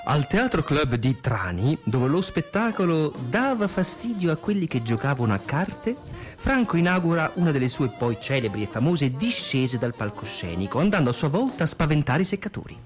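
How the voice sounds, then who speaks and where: A man reading scripted radio theatre narration in an expressive, deliberate delivery. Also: on the high side. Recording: music under the voice. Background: music.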